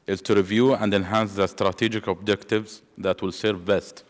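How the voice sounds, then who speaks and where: A man opens a panel as its chair in a formal, steady, scripted way. His voice sits fairly low and carries a long hall reverb. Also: moderate pace. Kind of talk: formal prepared remarks. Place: large conference hall.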